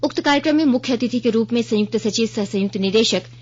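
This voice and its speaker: A woman's voice: on the high side, quick pace, darkish tone, breathy edge. A woman with an Indian accent reading a formal, scripted news bulletin as a radio newsreader. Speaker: news reader. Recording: dull, band-limited radio broadcast sound.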